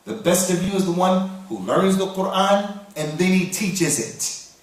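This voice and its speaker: A man from the United States giving a sermon, reciting Arabic verses quickly and then explaining them in English. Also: sits high. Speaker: Islamic preacher. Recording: very echoey hall, voice from far off.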